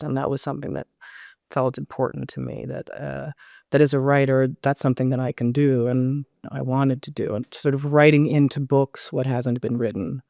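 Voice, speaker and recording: A woman's voice: rumbling low, clear, resonant tone, dry recording, studio quality. A middle-aged American woman talking as an interview guest in a thoughtful, self-questioning, digressive way. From United States. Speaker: novelist, podcast guest. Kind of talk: reflective conversational interview.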